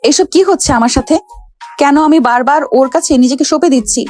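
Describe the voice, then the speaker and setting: A woman's voice: high-pitched, fast talker, darkish tone, soft background music, lightly acted. A woman playing a haughty, hot-tempered young wife who bosses her servant husband in a romantic audio story. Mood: flustered. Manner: scolding, bossy, dramatic.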